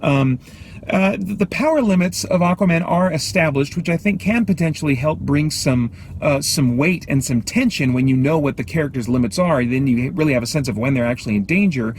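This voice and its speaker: A man with a voice a little higher than average hosts a casual movie review. He speaks off the cuff, haltingly, often stepping aside from his point. He is recorded in a car, with faint noise behind him. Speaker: film reviewer and host. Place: inside a car. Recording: light background noise inside a car.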